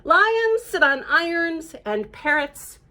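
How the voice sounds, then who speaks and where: A woman voicing a bossy, know-it-all male cat in a picture book, making emphatic, drawn-out pronouncements. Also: extremely high pitch, very animated, pushed and bright, voice pushed up, heavily acted. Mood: sure, instructive. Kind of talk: children's storybook read-aloud.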